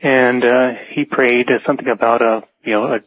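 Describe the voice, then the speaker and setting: A man's voice: mid-range voice, steady tone, brisk, a little hiss or hum. A man calling a radio show, telling a personal anecdote in a tentative, matter-of-fact way. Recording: narrow, dry phone line.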